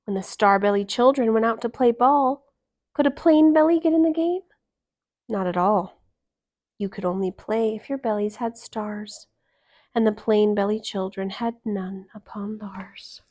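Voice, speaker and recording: A woman reading a rhyming bedtime story aloud to children, expressively, with dramatic emphasis and hushed line endings. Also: medium pitch, a little slow.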